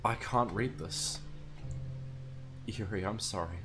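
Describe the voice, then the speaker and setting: A hoarse young man losing his voice, giving casual, swearing, nervous game commentary. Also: fairly low pitch, slow, music in the background. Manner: swears a lot, nervous and joking. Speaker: let's play gamer.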